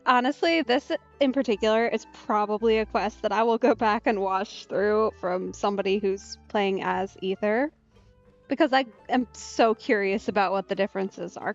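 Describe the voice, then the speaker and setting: A woman's voice: a little high, dull audio. A young woman casually commenting while playing a video game, chatty and giggly. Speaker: game streamer. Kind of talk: casual let's play commentary. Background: game music.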